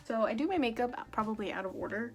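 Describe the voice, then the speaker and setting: A young woman with a raspy, sore-throated voice, chatting casually and joking at her own expense while she does her makeup. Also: fairly high pitch, animated, quick pace, faint music behind. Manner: self-deprecating, jokey, rambling. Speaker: beauty vlogger.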